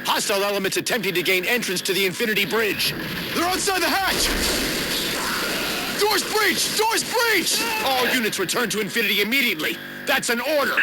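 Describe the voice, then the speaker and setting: A man's voice: very high voice, lively intonation, brisk, noisy background. A man voicing a panicked military officer in a video game, frantically calling out a distress message and orders. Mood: panicked, urgent. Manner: frantic, shouted commands.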